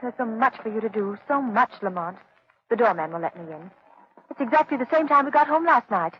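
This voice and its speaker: A woman's voice: a little high, expressive, fairly quick, close to an everyday voice. A woman plays a troubled, tender-hearted heroine in an old radio serial. She speaks earnestly and dramatically, on a muffled vintage broadcast. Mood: worried, earnest. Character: compassionate, anxious lady companion of the hero. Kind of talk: 1930s radio acting.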